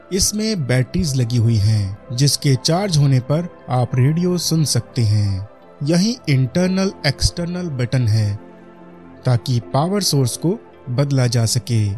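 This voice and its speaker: A man's voice: on the high side, darkish tone. A man with an Indian accent giving a product demonstration voice-over as a reviewer.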